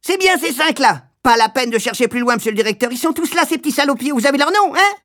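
A man voicing a spiteful, coarse female shopkeeper from a storybook, with a shrill, squawking voice and an indignant, accusing manner. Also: animated, quick pace, a bit bright, dry recording, clean studio sound. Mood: shrieking accusation. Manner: vulgar, ranting, indignant.

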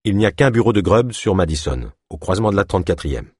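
A secretive male colleague in an audiobook, voiced by a man reading. He sounds matter-of-fact and evasive yet reassuring, in a very low, husky voice with a dry, close sound. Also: animated, quick pace, darkish tone, no echo. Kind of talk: audiobook character acting.

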